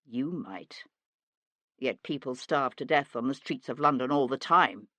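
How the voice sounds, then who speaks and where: Woman as a hard-edged, low-pitched young gentleman. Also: expressive, measured pace, dry recording, heavily acted. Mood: cold anger.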